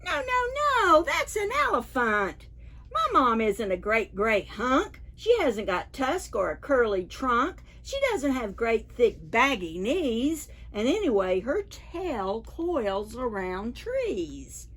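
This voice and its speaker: A woman's voice: fairly high pitch, big pitch swings, takes it slowly, fairly bright tone, full character voice. A woman voicing an anxious, indignant little monkey child in a picture-book read-aloud for preschoolers. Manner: indignant, emphatic protests.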